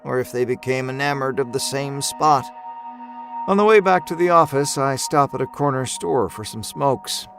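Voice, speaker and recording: A man's voice: mid-range voice, expressive, moderate pace, vocal fry, a bit bright, faint music behind. A man narrating a scripted first-person horror story in a wry, understated, dramatic way. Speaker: audio fiction narrator. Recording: narration over musical score.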